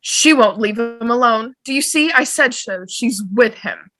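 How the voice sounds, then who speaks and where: A woman's voice: fairly high pitch, unhurried, a bit bright, faint music behind. A woman playing a frightened, insistent mother who accuses others in an amateur table read. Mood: alarmed. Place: remote video call.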